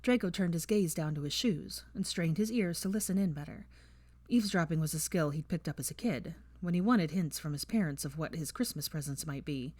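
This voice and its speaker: A woman with a fairly low voice reads a story aloud at an even, measured pace. The recording is dry and close, with no room echo. Her delivery stays steady, like a reader guiding listeners through each scene. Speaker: audiobook reader. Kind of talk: fan fiction audiobook narration.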